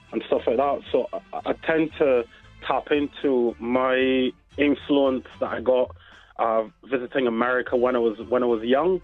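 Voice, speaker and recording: A man with a Barbadian accent, chatting casually as an interview guest. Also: medium pitch. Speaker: interview guest, dessert business owner. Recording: tinny phone-like line, faint music.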